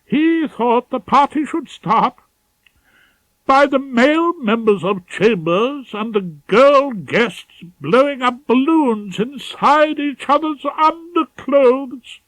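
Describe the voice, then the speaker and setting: A man's voice: very high-pitched, expressive, slow pace, a bit bright, no room sound, pitched above the performer's own voice, full character voice, low-quality recording. A man voicing a pompous, prim, scandalised middle-aged male head barrister in an audiobook, with formal and hesitant phrasing. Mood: scandalised, aggrieved. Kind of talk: audiobook character voice.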